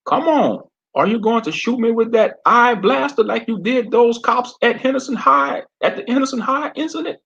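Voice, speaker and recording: Mean southern sheriff, drawly, sneery, high voice jumping all over. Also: male voice, lively intonation, normal speed, gravelly, few pauses, a bit bright, muffled recording, full character voice. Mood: taunting. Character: bullying small-town male sheriff, menacing and smug.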